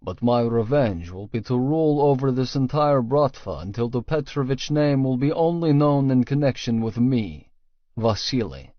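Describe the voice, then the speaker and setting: A man's voice: mid-range voice, lively intonation, normal speed, no echo. A man reading first-person fiction as an audiobook narrator, in a scripted storytelling style. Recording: dry, slightly dull studio sound.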